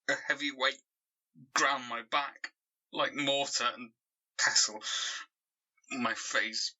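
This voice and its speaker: A traumatised man in an audio drama, reliving something terrible. His voice is raspy and very tense, with a hard edge. He speaks slowly and haltingly, weighing each phrase as he goes. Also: mid-range voice, bright, forceful tone, full character voice, low-quality recording. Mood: dread, intense. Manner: slow, halting, haunted delivery with repeated words. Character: traumatised man reliving a savage dog attack.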